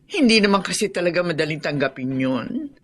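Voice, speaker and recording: A woman's voice: on the low side, big pitch swings, fairly quick. An actress playing a domineering, scheming middle-aged stepmother with a Filipino accent in a radio drama, imperious and falsely sweet. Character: scheming, domineering villainous stepmother and lady of the house.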